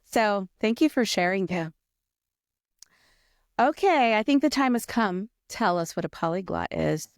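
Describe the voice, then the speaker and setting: A woman's voice: medium pitch, lively intonation, measured pace, long pauses, dry recording, clean studio sound. A woman hosting a podcast in a casual conversational interview style. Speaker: podcast host.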